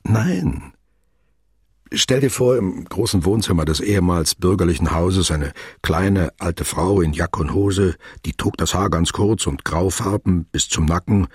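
A man acting as the sober, deliberate narrator of a literary radio play, speaking formally and in measured, wordy sentences. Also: deep voice, a little mellow, slightly rough, no room sound, clean studio sound.

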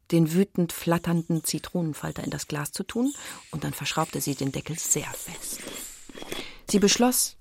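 A woman narrating a children's radio play as a wry, suspenseful storyteller, expressive and with pointed pauses. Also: on the low side, gravelly, slightly rough, no echo, studio quality. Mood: composed, wry. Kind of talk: dramatic scripted storytelling for children.

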